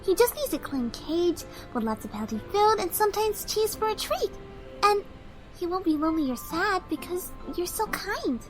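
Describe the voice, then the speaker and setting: A woman voicing a shy, gentle cartoon pony mare who speaks for animals, soft, polite and hesitant. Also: extremely high pitch, sing-song, normal speed, soft background music. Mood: timid, kind. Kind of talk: cartoon fan audio drama acting.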